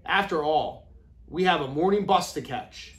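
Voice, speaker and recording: A man voicing a gentle, friendly old zookeeper from a children's storybook, with mild, expressive delivery. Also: fairly high pitch, a little slow. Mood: kindly.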